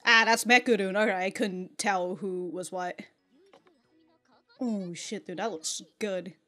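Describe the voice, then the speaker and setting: A woman's voice: medium pitch, steady tone, a little slow, bright, forceful tone. A woman giving casual, slangy reaction commentary and swearing freely as the host. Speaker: host of an anime reaction show.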